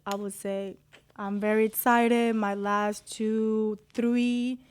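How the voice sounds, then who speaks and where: A woman's voice: mid-range voice, flat delivery, takes it slowly, darkish tone, clear, resonant tone. Giggly Venezuelan woman on radio. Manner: giggly, upbeat. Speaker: guest, boutique owner. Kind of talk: casual radio interview. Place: radio studio.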